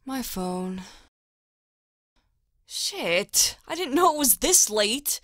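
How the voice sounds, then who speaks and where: A woman playing a flustered, awkward woman in an audio drama, halting and scattered. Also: on the high side, very animated, a bit bright, no echo, exaggerated voice.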